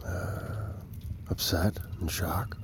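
Sombre, deep-voiced protective adviser. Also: male voice, fairly even intonation, in a whisper. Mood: sombre, careful. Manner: halting, careful, understated. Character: concerned, probing male adviser, sombre and protective. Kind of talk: naturalistic audio drama dialogue.

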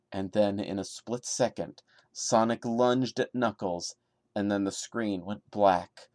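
A man with a fairly low voice works as a podcast host performing a creepy story. His delivery is theatrical and mocking, in a dry, close-sounding recording. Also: darkish tone, dry recording. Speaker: podcast host reading and commenting. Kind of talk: dramatic horror story reading with sarcastic asides.

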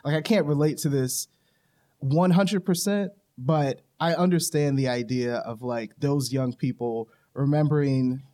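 A man's voice: mid-range voice, unhurried, dark tone. Man asking long, rambling questions. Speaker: interviewer. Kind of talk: conversational interview questions.

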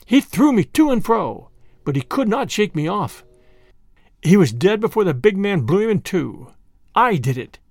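Storybook mongoose hero, male, acted by a narrator: bold, challenging, exclamatory, with lively swings in pitch. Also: normal speed, dry recording. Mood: boastful, fierce. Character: bold, fierce, taunting young male mongoose fighter.

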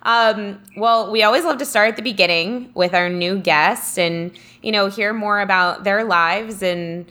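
A woman hosting an interview, giggly and effusive, with quick rushed asides. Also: medium pitch, pushed and bright. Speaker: podcast host.

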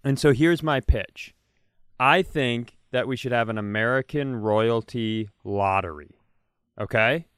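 A man's voice: medium pitch, measured pace, fairly bright tone, smooth, no room sound, clean studio sound. A man co-hosting a comedy podcast, chatting casually and rambling enthusiastically through comic ideas.

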